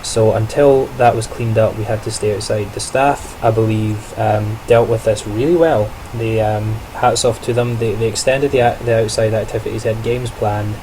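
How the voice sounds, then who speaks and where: A man's voice: lowish voice, steady tone, moderate pace, hardly any pauses, mellow, a little hiss or hum, rough recording. A young man, a trainee teacher, talking through his day in a casual video diary, chatty and reflective with frequent self-corrections and small laughs.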